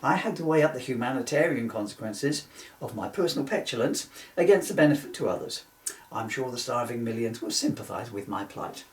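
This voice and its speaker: A man's voice: mid-range voice, expressive, brisk, close to an everyday voice. A man voicing a petulant, self-pitying male pop star from a comedy book, whiny, indignant and self-important. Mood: pompous. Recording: echoey room, distant mic.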